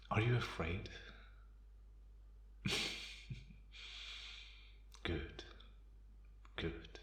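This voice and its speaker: A calm, menacing vampire, played by a man for an ASMR roleplay, speaks very low with a gravelly creak. His delivery is deliberate and taunting, kept in a narrow, even range. The sound is roomy and echoing. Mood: amused, taunting. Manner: deliberate, softly chuckling, taunting. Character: calm, amused, menacing male vampire, once human. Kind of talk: ASMR roleplay, slow intimate monologue.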